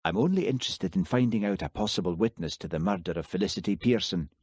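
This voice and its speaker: Man playing calm patient constable, persistent, low voice, dry recording. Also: fast talker, husky, dry recording.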